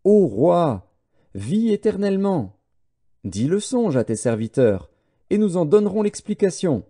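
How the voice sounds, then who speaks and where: Audiobook scripture: male narrator as formal, deferential royal astrologer, very mellow timbre, expressive pitch. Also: a little slow, exaggerated voice. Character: deferential male court astrologers pleading with their king. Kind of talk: dramatised scripture reading.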